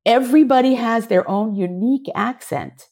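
A woman actor being interviewed, telling lively stories, often laughing and briefly acting out voices. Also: on the high side, normal speed. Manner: animated, laughing, acts out voices and gestures. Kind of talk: conversational interview storytelling.

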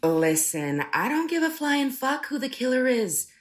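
An actor playing a suspicious, foul-mouthed, panicky drag queen in a campy comedic radio play, shrill and indignant. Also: sing-song, a little slow.

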